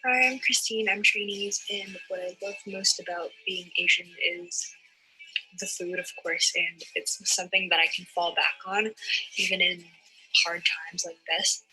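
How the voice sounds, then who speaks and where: Young woman, very bright, even voice. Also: lowish voice, normal speed, soft background music, rough recording. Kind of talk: casual personal testimonial. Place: couch at home.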